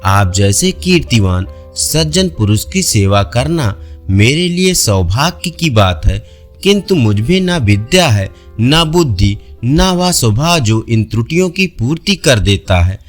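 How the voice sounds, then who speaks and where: A man's voice: medium pitch, sing-song, measured pace, soft background music, voice pushed down. A man voicing a modest, grateful young officer in a storybook, speaking deferentially. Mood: humble. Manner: humble, deferential.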